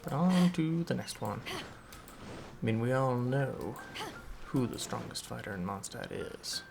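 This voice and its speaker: A man giving casual gameplay commentary as a streamer, with a gravelly voice. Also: medium pitch, expressive, slow pace. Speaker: game streamer. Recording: game music behind.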